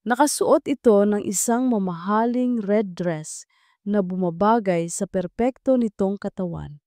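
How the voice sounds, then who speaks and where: A woman narrates a scripted romance drama as a storyteller. Her voice is mellow and mid-pitched, and the recording is close and dry. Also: no echo.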